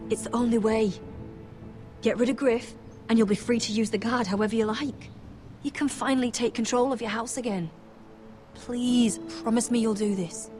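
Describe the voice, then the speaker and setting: A woman's voice: fairly high pitch, lightly acted. A young woman acting as a determined, anguished noblewoman in a dramatic fantasy video game, with earnest intensity. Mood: pleading.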